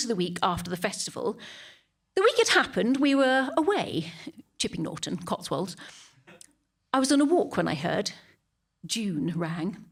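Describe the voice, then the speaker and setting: A British woman acting a devout, defensive churchgoer with a guilty secret, delivering a halting, indignant dramatic monologue that repeats phrases for emphasis. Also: medium pitch, husky. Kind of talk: dramatic monologue to a police officer.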